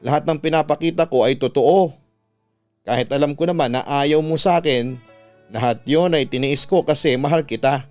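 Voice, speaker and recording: A gentle young Filipino sister, played by a male narrator, plaintive and earnest in a dramatic radio story. The voice is lifted in pitch and smooth, over a music bed. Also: moderate pace, lightly acted. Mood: hurt, tearful.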